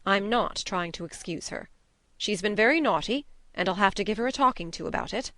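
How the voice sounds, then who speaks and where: A woman voicing a stern, reserved middle-aged woman guardian who speaks in a firm, measured way. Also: mid-range voice, expressive, pushed and bright, no echo.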